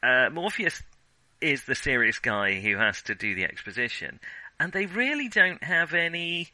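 Bright-voiced, animated man. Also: fairly high pitch, normal speed, no echo, low-quality recording. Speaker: podcast co-host. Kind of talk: casual film discussion.

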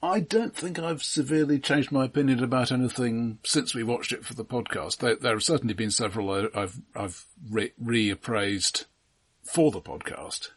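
A middle-aged man co-hosting a film podcast, speaking in a measured, considered way with stammered word repetitions. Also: mid-range voice, breathy edge. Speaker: podcast co-host. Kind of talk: casual film discussion.

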